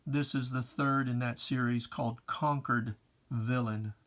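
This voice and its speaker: A reader giving a solemn, theatrical recitation of religious verse, with drawn-out phrasing. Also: mid-range voice, steady tone, a bit bright. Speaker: poetry reader.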